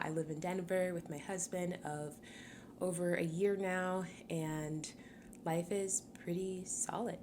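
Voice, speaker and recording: A woman in her twenties, from Cameroon, hosting a solo podcast in a chatty, upbeat way, laughing often and filling pauses with ums. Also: on the low side, background noise. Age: 20s. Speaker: podcast host.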